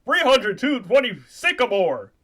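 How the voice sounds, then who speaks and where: An earnest frog puppet, high voice swinging all over, urgent and stammery, radio play. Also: male voice, sing-song, a little slow, a bit bright, heavily acted. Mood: flustered. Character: earnest pleading young male frog puppet hero.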